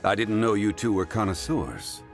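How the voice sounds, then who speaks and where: A deep, gravelly gentleman, refined and scholarly, speaking in measured, formal phrases. It is game character acting with clear music behind it. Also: lively intonation. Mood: calm.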